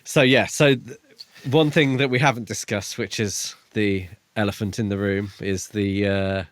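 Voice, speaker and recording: A man co-hosting a podcast in casual book chat, phrasing his thoughts hesitantly with pauses. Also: lowish voice, fairly even intonation. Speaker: podcast co-host.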